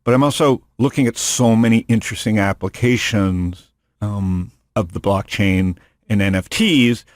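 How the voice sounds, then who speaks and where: A man's voice: lowish voice, moderate pace, dry recording, clean studio sound. A man hosting a podcast in casual chat, rambling and joking, often restarting his sentences. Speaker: podcast host. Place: studio room.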